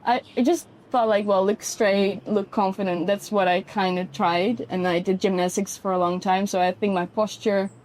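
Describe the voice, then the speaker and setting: A woman's voice: medium pitch, steady tone, normal speed, few pauses, a little mellow, a little hiss or hum, poor audio. Woman, twenties, Dutch accent, informal interview guest, conversational, filler-heavy "like". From Netherlands. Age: 20s. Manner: informal, peppered with "like". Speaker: podcast guest, fashion model. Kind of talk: casual interview chat.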